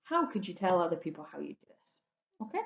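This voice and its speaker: A woman's voice: lowish voice, very animated, a little fast, vocal fry. A woman, a primary school teacher, explaining home learning tasks in an instructional way and often checking understanding with questions. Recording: roomy echo, dull lo-fi sound.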